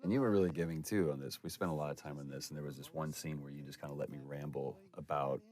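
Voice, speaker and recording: A man's voice: extremely low pitch, steady tone, fast talker, mellow. A man giving casual, reflective actor's commentary, wordy and emphatic as he recalls scenes.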